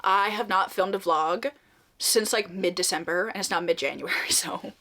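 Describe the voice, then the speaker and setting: A woman's voice: mid-range voice, moderate pace, sharp tone. A young woman chatting casually through her reading vlog, upbeat and laughing often, sounding a bit nasal from a slight cold. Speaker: reading vlogger.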